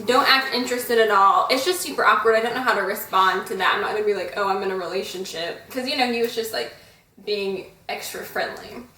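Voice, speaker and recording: A woman's voice: mid-range voice, fairly quick, pushed and bright, reverberant, distant mic, a little hiss or hum, low-quality recording. A young woman vlogger telling a story in a chatty, giggly way. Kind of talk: casual storytelling chat.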